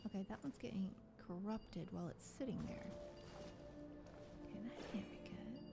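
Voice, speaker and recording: A woman's voice: medium pitch, moderate pace, gravelly. A woman streamer giving casual commentary as she plays, chatty and muttering to herself while she works through puzzles. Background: game music.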